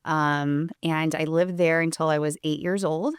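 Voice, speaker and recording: A woman in her forties from Puerto Rico, an attorney talking as a guest in an earnest, casual interview, with many pauses and restarts. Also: low voice, steady tone, clean tone, no room sound, studio quality.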